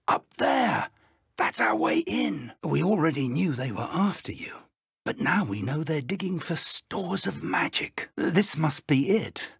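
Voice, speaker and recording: Elderly British wizard professor, earnest mentor, husky, lively intonation, formal diction, video game dialogue, dry close recording. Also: male voice, on the high side, no room sound, heavily acted. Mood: urgent, then calm, encouraging. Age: older. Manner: measured, formal, articulate.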